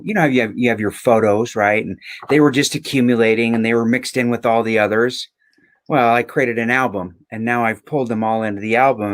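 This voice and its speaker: A man with a mid-range voice talks in a reflective, conversational way. He is earnest and leans on emphasis and rhetorical questions to make his points. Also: mid-range voice.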